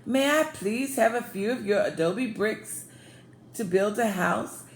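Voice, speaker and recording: A woman's voice: mid-range voice, a little slow, close to an everyday voice. A woman voicing a small, plucky, clever female javelina with emphatic storybook delivery. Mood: polite. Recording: roomy echo, faint background noise.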